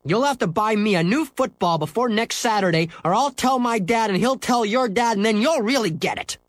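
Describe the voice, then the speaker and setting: Man doing a bossy kid in a storybook reading, shrill and accusing, pitched way up. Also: lively intonation, a little fast, no room sound. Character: bossy, angry young boy.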